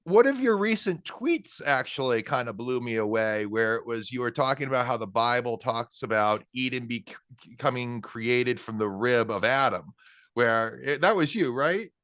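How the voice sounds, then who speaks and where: A man's voice: mid-range voice, animated, moderate pace, a bit bright, dry recording. Dry-sounding man host, rambling. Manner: rambling, filler-heavy musing. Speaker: podcast host. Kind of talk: conversational interview.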